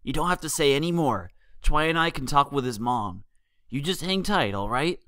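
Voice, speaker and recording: A man's voice: a little high, expressive, normal speed, a bit bright, no echo, studio quality. A man voicing a bold, protective young female pegasus pony in a storybook, with a casual, reassuring manner. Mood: soothing.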